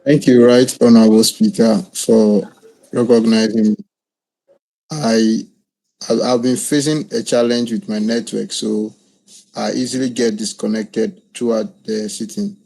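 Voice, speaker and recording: A man's voice: fairly low pitch, fairly even intonation, measured pace, creaky, warm tone, rough recording. A man politely and formally addressing the chair as a parliament member on a video call. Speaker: parliament member raising a question.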